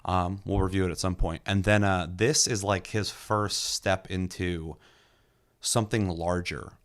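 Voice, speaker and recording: A young man casually reviewing a movie in a relaxed, enthusiastic way, with lots of fillers and the odd curse. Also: low-pitched, unhurried. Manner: loose and enthusiastic, many fillers, occasional swearing. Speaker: movie reviewer, podcast host. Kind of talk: casual film review chat.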